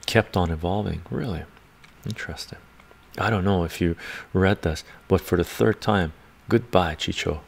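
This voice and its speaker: A man's voice: sits low, vocal fry. An older man with a congested chest hosting a casual livestream from a home room full of bookshelves, reading viewer comments aloud and chatting with a chuckle. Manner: rambling, chuckling, reads chat aloud. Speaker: livestream host. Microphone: desk microphone in front of him.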